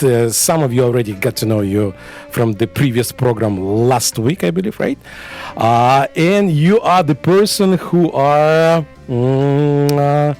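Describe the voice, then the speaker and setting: A man hosting a radio interview in a studio, talking hesitantly with many fillers. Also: medium pitch. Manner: hesitant, many fillers, switching between two languages. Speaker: radio host.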